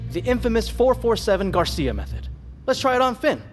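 A man's voice: very high voice, big pitch swings, a little fast, faint music behind. A man playing a skeptical, exasperated doctor in a naturalistic audio drama, with a clipped, sarcastic manner. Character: skeptical, exasperated male doctor guarding his experimental drug. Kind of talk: naturalistic fiction podcast acting.

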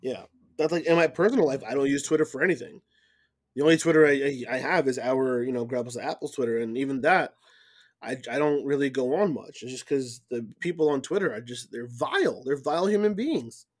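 A man's voice: mid-range voice, animated, fairly quick. A man co-hosting a podcast, talking casually in an earnest way, often hesitating and repeating words. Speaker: podcast co-host. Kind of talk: casual chat.

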